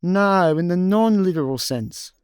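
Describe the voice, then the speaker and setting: A man's voice: high-pitched, lively intonation, measured pace, clear, resonant tone, no echo, clean studio sound. A man playing an easygoing, slightly more sensible slacker in a comedy sci-fi radio play, casual and hesitant. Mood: pondering.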